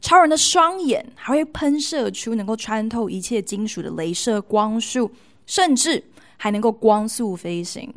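A woman with a Taiwanese Mandarin accent hosting a podcast, telling stories chattily with playful, expressive emphasis. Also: a little high, moderate pace. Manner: expressive, playful emphasis with colloquial sentence-ending particles. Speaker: podcast host. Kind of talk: chatty storytelling monologue to listeners.

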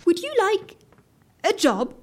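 A decisive, overworked office woman in an audio comedy. Her voice is high and very animated in pitch, with a dry, businesslike manner. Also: slow pace, a little hiss or hum, heavily acted. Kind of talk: audio comedy drama acting.

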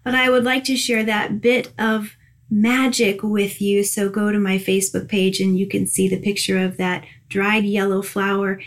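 A tired woman hosting a spiritual podcast in a gushing, chatty way, laughing often. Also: medium pitch. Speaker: podcast host and angel communicator. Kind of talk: casual spiritual podcast chat.

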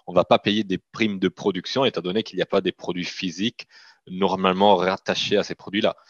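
A man's voice: sits low, a bit bright, no echo, lo-fi sound. A man in his thirties with an Italian accent, raised in the Italian-speaking part of Switzerland, explaining at length in a casual interview and often restarting his sentences. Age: 30s. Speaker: guest, gold company founder.

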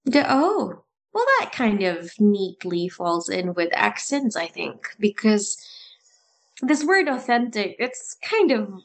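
A woman co-hosting a casual talk about improv, animated and often restarting her sentences with a laugh. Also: medium pitch, slow. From Philippines. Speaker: improv podcast co-host. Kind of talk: casual chat.

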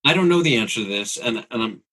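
A man in his fifties with a bright, forward voice that has a husky edge. He hosts in a casual chatting style, with dry, self-deprecating humour. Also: mid-range voice, animated, fast. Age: 50s. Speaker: interviewer, show host. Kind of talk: casual interview chat.

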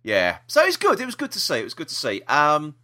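A British man with a bright, lively voice hosts a casual podcast. His pitch moves around a lot as he rambles through the news and gives his opinions freely. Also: fast talker. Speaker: podcast host. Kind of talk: casual chat about TV news.

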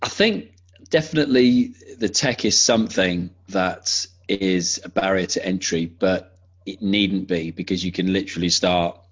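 A man's voice: low voice, unhurried, slightly rough, highs cut off. A man talking casually as a podcast guest, enthusiastic and conversational, restarting his sentences as he goes.

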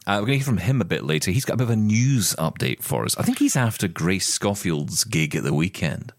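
A man's voice: sits low, very animated, fast talker, no echo, clean studio sound. A Scottish man co-hosting a tech podcast, chatty and joking with plenty of fillers. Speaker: podcast co-host. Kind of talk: casual tech podcast chat.

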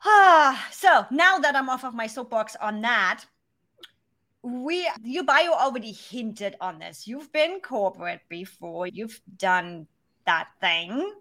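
A woman's voice: a little high, big pitch swings, takes it slowly, pushed and bright. A woman hosting a podcast interview, chatting in a bubbly, exclamatory way. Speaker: podcast host. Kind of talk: casual interview chat.

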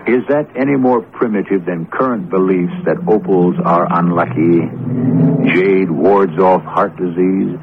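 A man's voice: sits low, a little slow, very noisy. A man hosting a radio mystery with scripted, dramatic narration full of rhetorical questions. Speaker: host and narrator. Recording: tinny vintage radio broadcast, loud music.

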